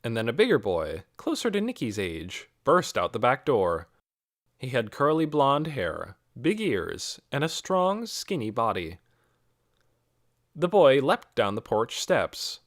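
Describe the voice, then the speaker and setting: A man reading a children's novel as scripted audiobook narration, in an expressive storytelling manner. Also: medium pitch, a little slow, dry recording, studio quality.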